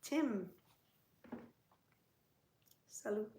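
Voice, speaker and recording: Slow, gravelly woman livestreaming. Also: medium pitch, expressive, warm tone, echoey room. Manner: chatty, teasing, talks to viewers' comments. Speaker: livestream host. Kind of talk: casual livestream chat.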